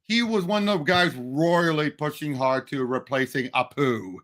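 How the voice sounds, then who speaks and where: A man's voice: on the high side, moderate pace, fairly bright tone. A man talking about movies as a podcast panelist, emphatic and eagerly repeating himself. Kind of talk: casual movie discussion.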